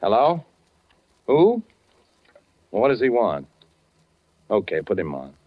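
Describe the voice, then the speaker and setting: An actor playing an affable, modest Hollywood director in a conversational 1940s radio drama style. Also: male voice, on the low side, huge pitch swings, slow, full character voice. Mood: brisk, businesslike. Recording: dull vintage 1940s radio recording.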